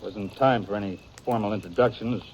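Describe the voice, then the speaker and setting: Guarded, prickly western outlaw. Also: male voice, lowish voice, brisk, a little mellow. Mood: guarded, irritated. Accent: American. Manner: terse, defensive. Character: prickly, guarded Old West outlaw, a secretive man. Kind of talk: 1950s radio western acting. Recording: muffled, noisy 1950s radio recording.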